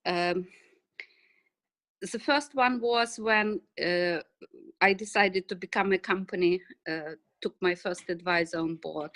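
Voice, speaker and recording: A woman's voice: on the low side, fairly even intonation, slow, a bit bright, dry recording. Eastern European woman, dry close sound. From Ukraine and Russia. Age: middle-aged. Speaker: interview guest, financial services business owner. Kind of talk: casual interview answers.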